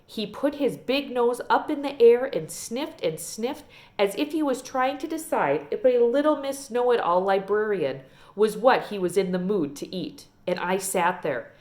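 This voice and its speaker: Woman playing an animated, genteel old librarian lady. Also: on the high side. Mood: dramatic, proud. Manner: folksy, emphatic, drawn-out storytelling. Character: old Southern small-town librarian lady, genteel, wistful storyteller.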